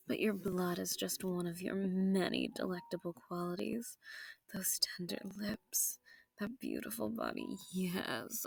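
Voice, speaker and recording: A woman playing a centuries-old, sadistic and possessive female vampire in an intimate audio roleplay, silky and taunting, slipping into breathy whispers. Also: fairly low pitch, dry recording, full character voice. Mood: seductive, possessive. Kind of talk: intimate scripted audio roleplay addressed to the listener.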